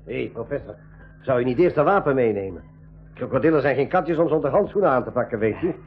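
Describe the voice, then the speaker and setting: A man with a Flemish accent playing a practical, alert sidekick in a radio play, brisk and matter-of-fact. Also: medium pitch, lively intonation, breathy edge, faint music behind. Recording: muffled 1980s school radio recording.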